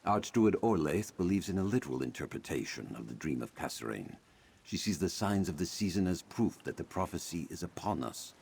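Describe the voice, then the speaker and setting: Deep, gravelly old druid tutor. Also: male voice, very animated, brisk, darkish tone, exaggerated voice. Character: grave, learned older male druid tutor, courteous and concerned. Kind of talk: fantasy video game dialogue.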